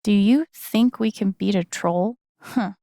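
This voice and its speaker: Young video game heroine, casual n sincere, gentle, bit wry, mid pitch, super dry sound. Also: female voice, measured pace, creaky, dry recording. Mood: casual, thoughtful. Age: young adult. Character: young woman, game heroine, earnest, gentle, wryly playful. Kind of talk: naturalistic video game voice acting.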